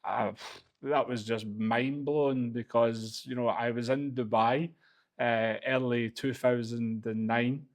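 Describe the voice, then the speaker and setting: Glaswegian man, 40s, even and flat delivery, relaxed personal story to camera. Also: mid-range voice, slow. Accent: Glaswegian Scottish. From Glasgow, Scotland. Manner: casual, loose, frequent fillers. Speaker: real estate agency director.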